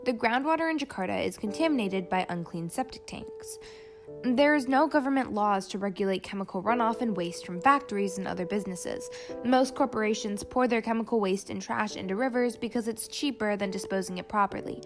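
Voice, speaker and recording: A woman reading a scripted documentary narration in a steady, informative way. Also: medium pitch, a little fast. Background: music.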